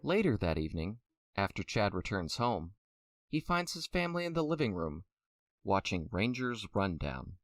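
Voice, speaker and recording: A man's voice: on the low side, big pitch swings, moderate pace, recorded from a distance, soft background music. A man narrating a scripted audio drama as storyteller.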